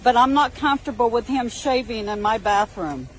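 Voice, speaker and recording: A woman with a fairly high voice in a played video clip. She speaks calmly and matter-of-factly, with faint background noise. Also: normal speed, poor audio. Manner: calm, matter-of-fact, slightly religious phrasing. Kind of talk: personal video monologue.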